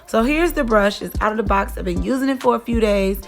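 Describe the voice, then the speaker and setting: Young woman beauty vlogger, casual rambling chat, a bit hoarse, faint music underneath. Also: medium pitch, fairly quick. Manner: chatty, rambling, many fillers. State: slightly hoarse, losing her voice. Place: vacation lodging, indoors.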